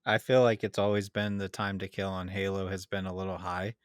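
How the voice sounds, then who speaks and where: Male co-host, slightly deep, casual and hedging as he thinks aloud, very dry sound. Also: level tone, brisk, smooth, no echo. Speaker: podcast co-host. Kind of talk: casual gaming chat.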